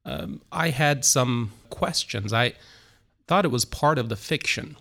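A middle-aged man with a Turkish accent, a church planter telling his story as an interview guest, speaking haltingly with many fillers and restarts. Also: medium pitch, bright, forceful tone. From Turkey.

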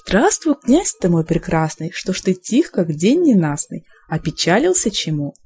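A woman voicing a gentle, graceful young swan maiden in a verse fairy tale, speaking tenderly. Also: lowish voice, sing-song, moderate pace, breathy edge, full character voice. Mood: tender concern.

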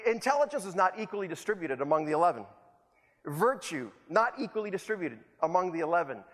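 A man's voice: sits high, very animated, quick pace, fairly bright tone. A man from El Salvador, preaching a sermon with rhetorical repetition and self-deprecating humor. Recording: long hall reverb.

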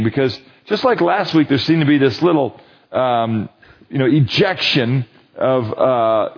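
A man's voice: a little high, breathy edge, dull audio. A man leading a men's Bible study, preaching in a conversational, emphatic way and often checking that listeners agree. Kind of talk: sermon.

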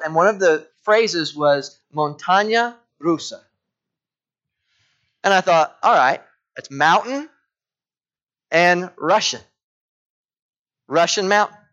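A male pastor preaching in an easy, storytelling way, his voice sitting a little high for a man. He keeps asking his listeners rhetorical questions. The recording sounds dull and lo-fi. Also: a bit bright. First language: English. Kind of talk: sermon.

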